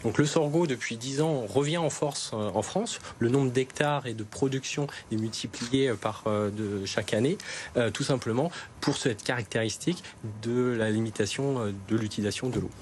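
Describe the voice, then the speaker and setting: A man's voice: medium pitch, moderate pace, slight background noise. A man, a farmer, explaining his crops in an interview, earnest, with many hesitations and restarts. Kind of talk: interview answers.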